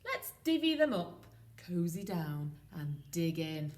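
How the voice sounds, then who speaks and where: Woman as a friendly badger, cheerful and coaxing, slow storybook delivery. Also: fairly low pitch, sing-song, darkish tone, slight background noise.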